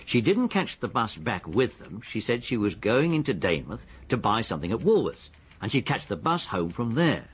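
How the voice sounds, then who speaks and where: An actor with an English accent playing a methodical, deferential police detective in a radio drama, his voice husky. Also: fairly low pitch, exaggerated voice. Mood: matter-of-fact. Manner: deliberate, factual, deferential. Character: methodical, deferential male police detective, confident and matter-of-fact. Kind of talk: British radio drama acting.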